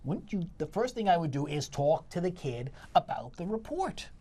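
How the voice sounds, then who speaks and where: Mellow middle-aged man, debating casually. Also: a little high, big pitch swings, moderate pace. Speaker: theatre critic, show co-host. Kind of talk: casual review discussion.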